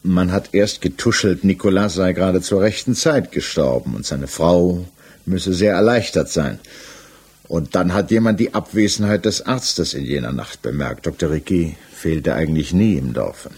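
A man acting as an examining magistrate in a 1960s German radio drama, speaking in a measured, formal way with pointed questions. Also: deep voice, lively intonation, darkish tone, breathy edge, full character voice. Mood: pressing. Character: male examining magistrate, methodical, probing, insistent, coolly persistent. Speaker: actor in a radio crime drama.

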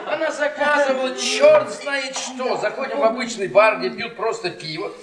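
An actor playing a bitter, mocking middle-aged professor and henpecked husband in a theatrical radio play, often repeating words insistently. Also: male voice, very high-pitched, moderate pace, over a noisy crowd. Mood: sardonic toasting. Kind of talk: Russian radio theatre acting. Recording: echoey stage, distant, loud audience.

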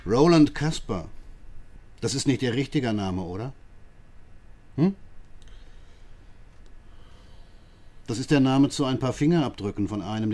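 An actor playing a sly, patronising police inspector who questions a prisoner with drawn-out, needling questions in a radio drama. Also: male voice, on the low side, dark tone, lightly acted. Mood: probing, smug.

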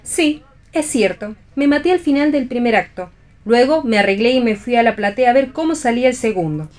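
A woman's voice: fairly high pitch, lively intonation, fairly quick, darkish tone, lightly acted. A woman acting a proud, possessive rival back from death in a play reading for radio, assertive and declamatory.

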